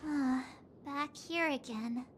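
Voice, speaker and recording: Squeaky, perky teenage adventurer girl. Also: slow, noisy background. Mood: puzzled. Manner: bubbly, candid, full of energy. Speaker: video game character voice actor. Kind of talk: anime-style game dialogue.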